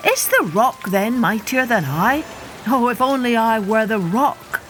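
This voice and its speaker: A woman plays a proud storybook man who is never satisfied. The delivery is indignant and full of longing, slow and exclamatory. Clear music sits behind the voice. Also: on the high side, big pitch swings. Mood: amazed, longing. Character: discontented, proud man longing for greater might.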